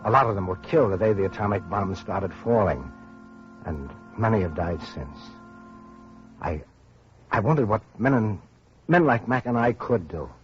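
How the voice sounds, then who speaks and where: An actor playing a weary, earnest survivor of atomic war, narrating haltingly in an old-time radio drama style. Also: male voice, on the low side, lively intonation, lightly acted. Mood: somber. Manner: halting, hesitant, repeating words. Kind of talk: 1940s-50s radio drama acting and narration. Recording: dull old radio recording, music behind.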